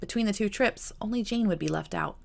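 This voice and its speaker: A woman's voice: medium pitch, brisk, vocal fry. A woman reading her own novel as scripted audiobook narration.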